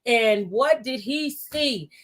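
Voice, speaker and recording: A woman's voice: a little high, slow. Encouraging woman hosting a faith talk show. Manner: encouraging, testifying. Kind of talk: faith-based interview with preacher-like exhortation.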